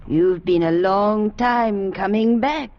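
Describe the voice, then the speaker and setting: An actress playing a cryptic, eerie village innkeeper, measured and enigmatic, in 1940s radio drama style. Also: female voice, mid-range voice, close to an everyday voice. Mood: knowing, mysterious. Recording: muffled old radio recording.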